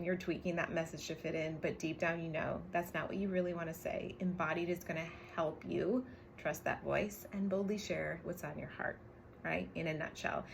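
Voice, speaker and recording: Emphatic low-pitched woman giving a pep talk. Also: vocal fry, a little hiss or hum. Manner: emphatic, frequent tag questions, swears casually. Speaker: brand coach and business co-owner. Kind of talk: motivational livestream talk to camera.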